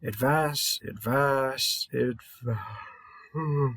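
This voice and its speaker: A man's voice: medium pitch, very slow, fairly bright tone, no room sound, clean studio sound, exaggerated voice. A man playing a tired veteran vampire hunter from the American South, mumbling and rambling folksy encouragement in an intimate audio roleplay. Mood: tired, advising.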